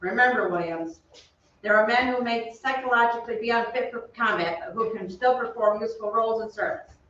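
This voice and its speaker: An actor playing a brisk, commanding male army sergeant with clipped, authoritative delivery in a staged old-time radio drama. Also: mid-range voice, fairly bright tone, lightly acted. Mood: stern. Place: theatre stage. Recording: very echoey, distant, dull, faintly noisy stage.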